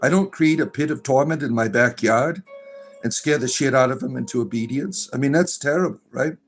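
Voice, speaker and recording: A middle-aged man from Florida, hosting a casual livestream and answering viewer comments in a rambling, drawn-out way with many fillers. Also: mid-range voice, faint music behind. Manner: rambling, full of fillers and restarts, drawn-out narrator delivery. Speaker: livestream host.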